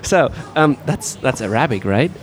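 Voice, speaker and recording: A man's voice: medium pitch, animated, normal speed. A man co-hosting a casual interview, teasing and joking with the guest. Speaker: co-host.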